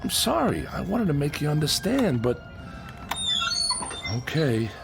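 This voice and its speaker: A man's voice: on the high side, big pitch swings, a little slow, creaky, loud music behind the voice, heavily acted. An actor playing a blunt, puzzled workman in a radio drama, talking in a plain colloquial way. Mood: wary, resigned. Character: brusque, puzzled workman losing patience, then apologetic.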